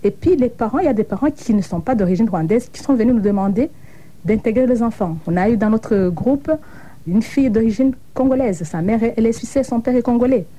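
A woman's voice: a little high, fairly quick, warm tone, poor audio. A middle-aged woman from Burundi, giving an earnest, thoughtful account as an interview guest. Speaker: interview guest, association co-founder. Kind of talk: reflective broadcast interview.

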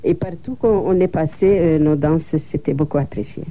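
Woman, kinda low voice, super dark and mellow, just telling how things went step by step. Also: normal speed. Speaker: interview guest, association co-founder. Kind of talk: broadcast interview.

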